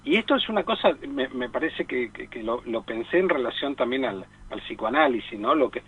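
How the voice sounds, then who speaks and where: A man's voice: medium pitch, expressive, slightly rough, phone-quality sound. A man with an Argentine Spanish accent giving conversational, digressive film commentary on the radio, often stopping to seek agreement. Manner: digressive, halting, often seeking agreement with tag questions. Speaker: radio show team member and film columnist.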